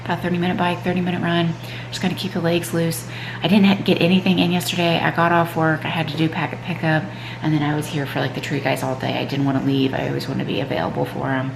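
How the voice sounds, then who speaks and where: A woman's voice: on the low side, roomy sound, noisy background. A stuffed-up woman vlogging casually at home in the morning, rambling with lots of "um" and "like". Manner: rambling, frequent "um" and "like". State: stuffed-up nose. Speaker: vlogger, mom and triathlete. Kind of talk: casual chatty vlog. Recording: clear background music under voice.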